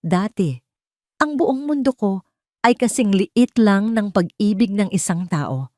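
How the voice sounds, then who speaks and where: A woman's voice: medium pitch, lively intonation, slow pace, darkish tone, dry recording, pitched above the performer's own voice. A woman voicing a serene, content woman in love in a romantic audio drama, reflective in manner. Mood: peaceful, reflective.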